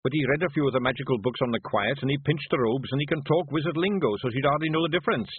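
A man's voice: a little high, expressive, a little slow, husky, no room sound, voice pushed up. A man voicing a nervous little male shopkeeper who begs and pleads anxiously. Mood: pleading. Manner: anxious, begging. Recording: tinny phone-like sound.

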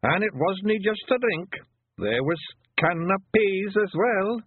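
A man's voice: high voice, expressive, slow, slightly rough, no room sound, phone-quality sound, voice pushed up, exaggerated voice. A man voicing a dim, cheerful little pictsie sidekick with a Scottish accent, artlessly helpful, in a storybook reading. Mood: cheerfully helpful.

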